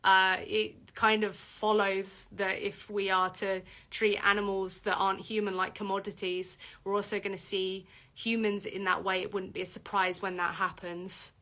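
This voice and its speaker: A woman's voice: level tone, moderate pace, hardly any pauses, pushed and bright. A woman hosting a casual livestream Q&A, reading out viewer comments and answering with many fillers and restarts. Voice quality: deep voice for a woman.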